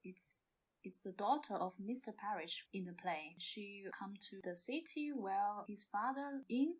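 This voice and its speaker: A woman, an amateur actor, chatting as an interview guest in halting, non-native English phrasing. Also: mid-range voice, rough recording. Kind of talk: casual interview chat.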